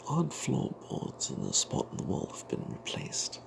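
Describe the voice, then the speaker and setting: A man telling an off-the-cuff fantasy game story in ASMR style, breathy and half-whispered, halting, with playful old-fashioned flourishes. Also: medium pitch, huge pitch swings, background noise. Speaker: storyteller narrating a tabletop game tale.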